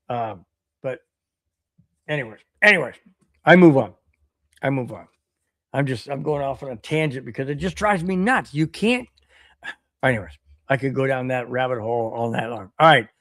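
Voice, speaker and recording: Man, podcast host, animated rant, going hoarse, bright-ish pitch, dry mic. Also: dry recording. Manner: animated, repeats himself, riled up. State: voice getting hoarse. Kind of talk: solo livestream rant reading chat.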